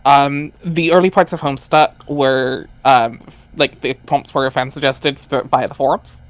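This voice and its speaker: A man's voice: mid-range voice, steady tone, a little slow, no room sound. A man chatting casually as he explains background details to a group, often hesitant and searching for words. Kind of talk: casual chat, commentary.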